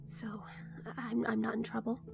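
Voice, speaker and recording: A woman's voice: on the high side, monotone, normal speed, creaky, music in the background. A woman acting in an audio drama as an earnest, uneasy teenage daughter, hesitant, subdued and confessional.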